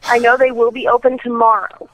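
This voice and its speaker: A woman's voice: a little high, little pitch movement, fairly quick. A woman on a telephone line, an earnest, talkative customer care supervisor speaking in a reassuring way. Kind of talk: customer service phone call.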